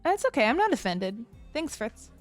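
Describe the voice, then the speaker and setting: A woman playing a sweet, curious young newcomer with a soft manner in an audio drama. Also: on the high side, very animated, normal speed, faint music behind. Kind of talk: casual audio drama dialogue.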